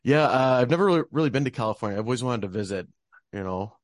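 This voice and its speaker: Friendly host with a dry, close sound. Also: male voice, medium pitch, expressive, fast, no echo. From Minnesota. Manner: friendly, brief, laughs often. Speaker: podcast host. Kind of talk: casual interview hosting.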